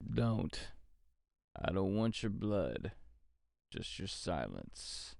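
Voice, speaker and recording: A male actor as a cynical, weary private eye from a noir radio play. He speaks slowly and deliberately in a deep, gravelly voice that still swings widely in pitch. His manner is terse and brooding. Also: big pitch swings, a little mellow, dry recording, studio quality, heavily acted. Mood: cold, defiant. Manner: brooding, hardboiled, deliberate delivery. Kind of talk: noir audio drama narration. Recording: music under the voice.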